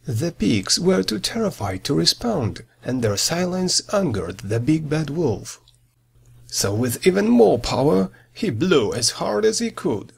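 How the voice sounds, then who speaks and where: A man reading a children's fairy tale as a storyteller, with a dramatic, expressive delivery and a husky, gravelly voice. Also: fairly high pitch, measured pace.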